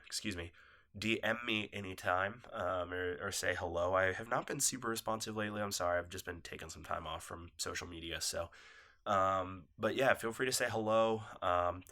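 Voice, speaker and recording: Podcast host, man, congested and nasal, loose apologetic chat, bright edge to the tone. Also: deep voice, moderate pace. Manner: rambling, apologetic, loose and laid-back. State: nasal from a cold, coughing. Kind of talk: casual solo podcast chat. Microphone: phone set down nearby.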